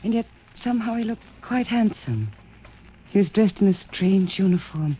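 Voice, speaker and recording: A woman's voice: medium pitch, animated, normal speed, warm tone, a little hiss or hum, close to an everyday voice, poor audio. A woman playing a wistful, unhappy wife on Mars in a radio drama, musing and hesitant. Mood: dreamy.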